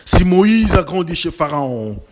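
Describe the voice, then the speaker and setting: A man's voice: a little high, sing-song, a little slow. A man preaching a sermon with emphatic, building repetitions and rhetorical questions, slightly congested from a cold.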